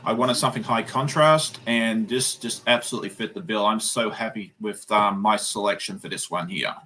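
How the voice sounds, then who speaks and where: A man's voice: lowish voice, moderate pace, bright, forceful tone, poor audio. A man with an Australian accent, a guest quilter chatting in a friendly way on a video call. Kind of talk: casual chat on a video call.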